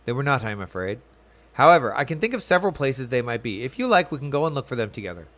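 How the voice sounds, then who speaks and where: A man's voice: medium pitch, big pitch swings, fast, fairly bright tone. A man voicing an earnest, kindly but self-righteous young noble from a game, with formal, archaic phrasing. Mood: kindly, reassuring. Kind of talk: reading game dialogue aloud.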